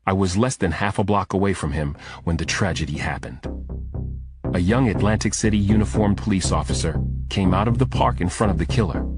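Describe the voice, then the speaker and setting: A man's voice: sits low, fairly quick, darkish tone, soft background music. A man reading scripted first-person thriller narration as an audiobook narrator.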